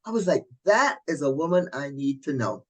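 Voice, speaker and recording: A middle-aged woman from Barbados, a coach telling her story as an interview guest in a lively, chatty way. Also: sits low, moderate pace. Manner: lively, chatty, warm asides like "honey".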